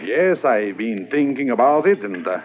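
An actor playing a calm, confident undercover policeman in a 1950s radio drama. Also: mid-range voice, normal speed, close to an everyday voice. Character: undercover Canadian policeman posing as smuggler. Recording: dull old radio transcription, faint hiss.

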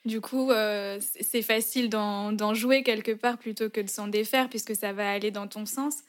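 A woman's voice: medium pitch, steady tone, moderate pace. A young woman from France, co-hosting a podcast in casual chat, laughing often and trailing off with fillers. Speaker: podcast co-host.

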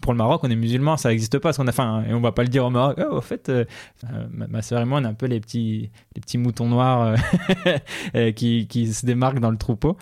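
Homme hésitant au débit haché. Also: male voice, mid-range voice, fairly quick, creaky, a little mellow. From Belgium and Morocco. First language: Dutch. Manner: hesitant, many restarts and fillers, laughs often. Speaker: podcast co-host. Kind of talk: casual conversation.

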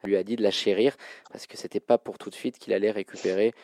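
A man with a mellow, relaxed voice hosts a sports trivia game. His delivery is informal and full of colloquial slang, and the sound is close and dry. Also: lowish voice, fast talker, no echo. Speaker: quiz show host. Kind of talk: hosting a basketball trivia quiz, casual banter.

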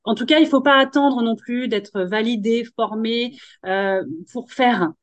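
A woman hosting a podcast and coaching women, talking in a relaxed, chatty way with slang and advice. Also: on the high side, measured pace, dry recording, dull audio. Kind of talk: casual podcast conversation.

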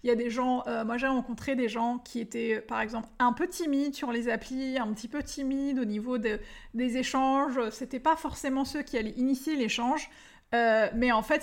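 Enthusiastic French woman podcaster, slightly high voice. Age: 30s. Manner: enthusiastic, many fillers and restarts, addresses listener directly. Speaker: podcast host and dating coach. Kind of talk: solo podcast monologue.

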